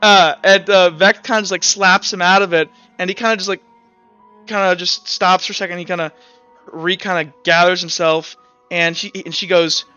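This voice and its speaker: Brief: young man running a tabletop game, rambling, smooth bright voice. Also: sits high. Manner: rambling, lots of "like" and "kinda". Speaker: game master. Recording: dry muffled mic with faint music.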